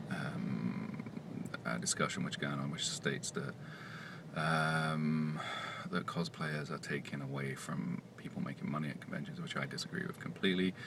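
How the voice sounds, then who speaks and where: Soft-spoken male comic writer, very deep and hesitant, noisy recording. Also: creaky. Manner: quiet, soft-spoken, hesitant with many fillers. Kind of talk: casual vlog monologue.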